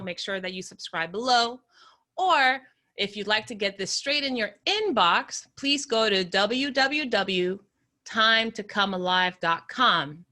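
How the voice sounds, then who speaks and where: A woman hosts an interview podcast in a bright, ringing voice. She speaks slowly and deliberately, then chats easily with her guest over a video call. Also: medium pitch. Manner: slow, deliberate opening, then conversational with laughs. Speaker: podcast host.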